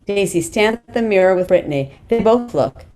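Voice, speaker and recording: A woman's voice: medium pitch, lively intonation, fairly quick, darkish tone. A woman reading script directions in a plain, matter-of-fact way at a table read. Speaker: stage directions reader.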